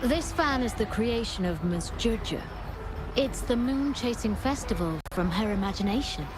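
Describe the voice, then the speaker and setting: A woman's voice: fairly high pitch, animated, moderate pace, natural voice. A woman acting a courteous, welcoming festival stall seller in a video game. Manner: polite, welcoming. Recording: game music behind the voice.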